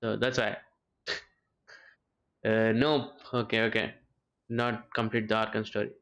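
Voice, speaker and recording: A man's voice: lowish voice, flat delivery, a little slow, a bit bright. A man livestreaming a game, chatting informally with viewers and repeating his phrases as he reacts. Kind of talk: casual livestream chat and reactions.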